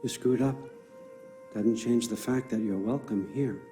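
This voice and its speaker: A man plays a wily old Norse god-king in a game cutscene, middling in pitch. He talks in a folksy, coaxing way that carries a controlling, commanding edge. Faint music sits behind him. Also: a little slow, warm tone, natural voice. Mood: measured, probing. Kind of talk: naturalistic video game cutscene acting.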